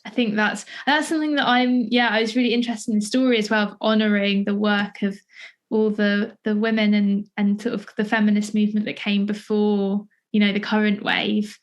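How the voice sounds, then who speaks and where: A woman's voice: mid-range voice, flat delivery, brisk. A woman with a mid-range voice speaks in a conversational interview style. She is hesitant and searching, often breaking off and restarting her sentences. She sounds like a podcast interviewer thinking aloud. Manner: hesitant, searching, hedging delivery with many false starts.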